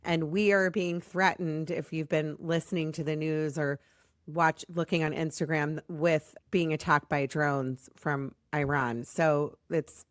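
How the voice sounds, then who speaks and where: An American woman hosting a podcast in a chatty, rambling, self-deprecating way, her voice slightly raspy as it recovers from being lost. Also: sits low, fairly even intonation, a little slow, no room sound, studio quality. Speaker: podcast host.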